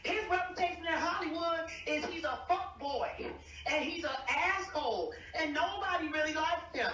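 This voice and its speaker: A woman's voice: very high voice, moderate pace, fairly bright tone, a little hiss or hum. An angry middle-aged woman in a heated argument, confrontational, profane and emphatic. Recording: echoey, distant leaked phone-call recording.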